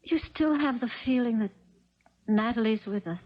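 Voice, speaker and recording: Actress as gentle stepmom in old 70s radio play, kinda high voice, modest and accommodating, muffled audio. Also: female voice, normal speed, lightly acted. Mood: uneasy, concerned. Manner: modest, mild, apologetic. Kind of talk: 1970s radio drama acting. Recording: muffled vintage radio broadcast recording.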